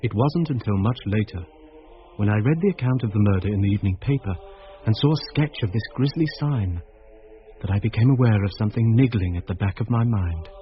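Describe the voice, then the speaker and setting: An actor playing a troubled, introspective schoolteacher who narrates a radio drama in a brooding, uneasy way. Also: male voice, lowish voice, a little fast, faint music behind, lightly acted. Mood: sombre, reflective. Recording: muffled, lo-fi old radio recording.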